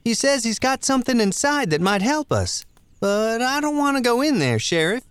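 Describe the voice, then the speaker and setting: A man's voice: very high voice, big pitch swings, normal speed, fairly bright tone, clean tone, no room sound, pitched above the performer's own voice, exaggerated voice. A man voicing a timid young male deputy who hesitantly relays what a stranger says. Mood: nervous. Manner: hesitant, reluctant.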